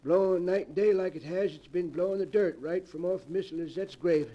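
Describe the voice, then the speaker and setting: An actor playing an old caretaker in a 1940s radio drama, folksy, hesitant and deferential. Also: male voice, high voice, normal speed, mellow. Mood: folksy, matter-of-fact. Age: older. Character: old caretaker, folksy, deferential, plain-spoken rustic man. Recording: dull old radio recording.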